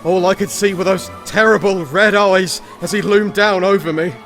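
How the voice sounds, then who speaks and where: A man's voice: high voice, fairly even intonation, moderate pace, fairly bright tone, faint music behind. A man playing a defiant young husband, acting and narrating intensely in a gothic audio drama. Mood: defiant, desperate. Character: desperate, defiant young husband battling a vampire.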